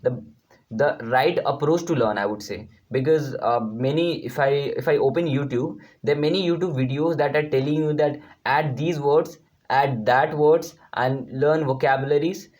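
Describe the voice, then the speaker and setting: A man with an Indian accent, a native Hindi speaker, gives an informal lesson to viewers as an online tutor, explaining in a halting, repetitive, emphatic way. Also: a little high, darkish tone. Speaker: YouTube language tutor.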